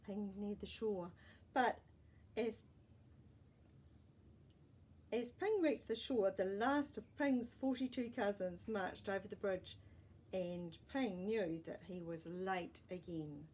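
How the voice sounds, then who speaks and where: Slow-paced woman reading a storybook. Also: mid-range voice, a little mellow, a little hiss or hum. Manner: deliberate, dramatic pauses and emphasis. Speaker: storyteller reading a children's book. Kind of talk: expressive storybook narration with asides to young listeners.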